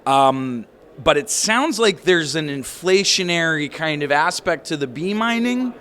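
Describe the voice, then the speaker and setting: A man interviewing a guest as a friendly skeptic, talking fast and swearing casually. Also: a little high, expressive, fairly bright tone. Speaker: interviewer, startup founder.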